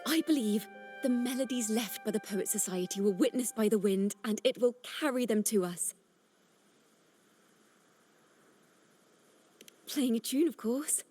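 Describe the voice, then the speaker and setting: A woman voicing a gentle, earnest young bard in a video game story, speaking in a measured, contemplative and poetic way. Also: sits high. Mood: wistful, inspired. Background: background music.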